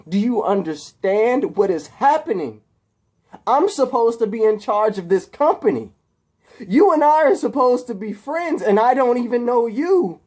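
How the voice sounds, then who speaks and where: A man's voice: high-pitched, big pitch swings, slow pace, pauses often, a little mellow, voice pushed up, exaggerated voice. A man voicing an unhinged young rich heir turned villain, speaking intensely in emphatic, ranting phrases. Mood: frantic, agitated.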